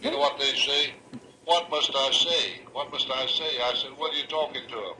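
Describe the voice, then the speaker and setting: A man's voice: mid-range voice, moderate pace, pushed and bright. An older man talking casually in a folksy, rambling way, as in a taped letter to his family. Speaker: grandfather recording a tape letter to family. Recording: old home reel tape, echoey, faint noise.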